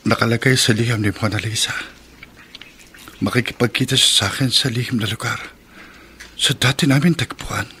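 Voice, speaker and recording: A man's voice: medium pitch, fairly even intonation, moderate pace, pauses often, lightly acted. A man playing a determined, secretive lover who plots murder in a radio drama, earnest and theatrical. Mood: urgent, conspiring. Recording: faint background ambience noise.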